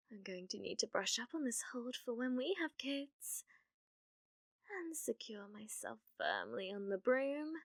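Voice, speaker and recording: A woman's voice: fairly high pitch, creaky, a bit bright, no echo, clean studio sound, heavily acted. A British woman playing an obsessive, clumsy, lovestruck witch in an ASMR roleplay, cooing breathily and often slipping into whispers. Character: obsessive, clumsy, lovestruck young witch, doting yet menacing.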